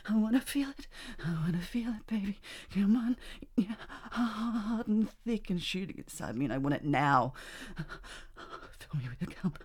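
Casting: woman as a possessive, reckless married teacher; husky and breathy, lower register, big pitch swings between whispers and cries. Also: lively intonation, normal speed, darkish tone. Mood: pleading. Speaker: voice actor in an adult audio roleplay. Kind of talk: intimate roleplay performance.

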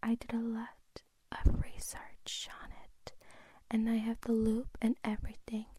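A woman's voice: a little high, a little mellow, slightly rough, no echo. A woman whispering in a teasing way, playing a girlfriend in an intimate audio roleplay.

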